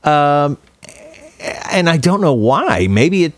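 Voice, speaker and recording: A man's voice: on the high side, very animated, no room sound. A man co-hosting a podcast in casual, opinionated chat, swearing freely and often restarting his phrases. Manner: profane, opinionated, halting with repeated words. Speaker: podcast co-host. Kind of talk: casual chat about films and music.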